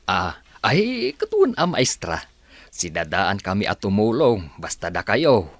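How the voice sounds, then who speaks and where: A man's voice: on the low side, wildly swooping pitch, a little slow, dry recording, full character voice. A man with a Filipino accent playing an obliging, good-natured village carpenter and father in a radio drama.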